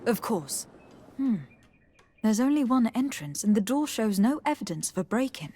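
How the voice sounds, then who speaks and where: A woman voicing a polite, inquisitive young noblewoman diplomat in a video game, speaking in a measured, formal way. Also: on the high side, very animated, dark tone, husky. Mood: measured, inquiring. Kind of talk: video game dialogue acting.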